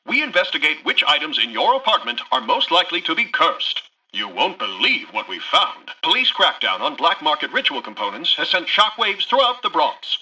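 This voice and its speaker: A man's voice: high-pitched, bright, forceful tone, breathy edge, heavily acted, low-quality recording. A male voice actor playing a sensational old-time American radio newscaster for a video game, with a punchy, theatrical announcing style. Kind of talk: 1940s radio newsreel announcing, scripted.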